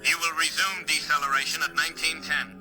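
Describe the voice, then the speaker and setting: An actor playing a brisk, impersonal starship officer over a radio link in a 1950s science fiction radio drama, clipped and official. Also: male voice, fairly high pitch, fairly even intonation, pushed and bright, low-quality recording. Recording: vintage radio recording with music behind.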